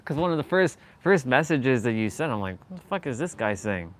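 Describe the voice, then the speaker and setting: A man's voice: medium pitch, sing-song, a little fast. A Canadian man hosting a live stream and chatting casually, rambling and searching for words, with frequent laughs. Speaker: livestream host.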